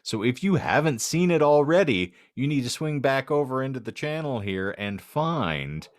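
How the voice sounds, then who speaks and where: A man's voice: mid-range voice, sing-song, moderate pace. A man hosting a comedy podcast, speaking in a wry, wordy, mock-grandiose way as he banters and signs off. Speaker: podcast host.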